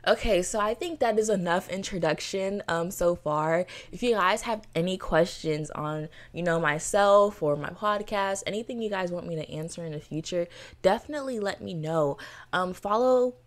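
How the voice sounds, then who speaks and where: A woman's voice: fairly low pitch, moderate pace. A nineteen-year-old woman from Florida hosting her own podcast, talking in an enthusiastic, chatty way with lots of "you know" fillers. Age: teens. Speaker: podcast host. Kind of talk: solo podcast monologue.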